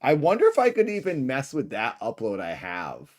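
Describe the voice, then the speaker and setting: A man's voice: medium pitch, huge pitch swings, moderate pace, darkish tone. A middle-aged man with a lisp, rambling through a livestream reaction with laughing asides. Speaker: livestream host.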